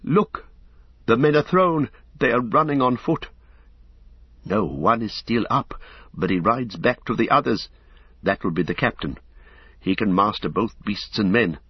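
A man's voice: on the low side, normal speed, breathy edge, no echo. A man voicing a grave, loyal adult guardsman with solemn, old-fashioned speech, from an audiobook reading. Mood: alarmed. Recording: dull lo-fi audiobook recording.

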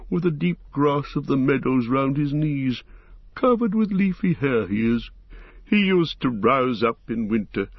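Ancient male tree giant by a male reader, ponderous, husky, dull dry recording. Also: mid-range voice, dry recording, heavily acted. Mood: musing. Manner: unhurried, ponderous, musing.

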